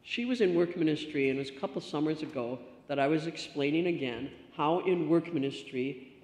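A middle-aged woman pastor giving a stewardship talk from the lectern, telling a story with lively gestures. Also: deep voice. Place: church sanctuary. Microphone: stand mic at lectern. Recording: echoey church hall reverb.

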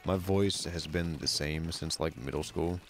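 Young male streamer, extremely deep voice, gravelly fry, casual and foul-mouthed. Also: unhurried, slight background noise. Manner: laid-back, swears a lot, talks to the game and chat. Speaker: game streamer. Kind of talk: casual gameplay commentary. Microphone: studio mic with bass roll-off.

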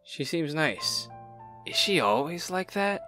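A man playing a dry, reserved young man in a cartoon comic dub, with a terse, deadpan way of talking. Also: a little high, slow pace, soft background music, full character voice. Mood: calm, curious. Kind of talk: animated comic dub acting.

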